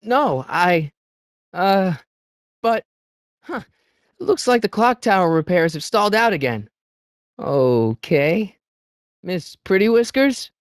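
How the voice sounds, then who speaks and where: A man's voice: high voice, sing-song, slow pace, pauses often, no room sound, studio quality, full character voice. A man playing an earnest, anxious American teenage boy in a video game, with a hesitant, stammering way of talking to himself. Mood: alarmed, then awkward, uneasy. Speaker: voice actor. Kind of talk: video game character voice acting.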